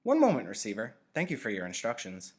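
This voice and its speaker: Man putting on a high, polite attendant. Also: huge pitch swings, fast talker, husky, exaggerated voice. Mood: polite, prompt. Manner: formal, helpful. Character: polite, obliging attendant on a speaker.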